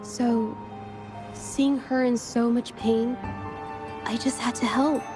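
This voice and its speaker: A woman playing a gentle, earnest young woman painter in a video game, speaking softly and sincerely. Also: on the high side, fairly even intonation, slow. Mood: heartfelt, reflective. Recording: music behind the voice. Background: background music.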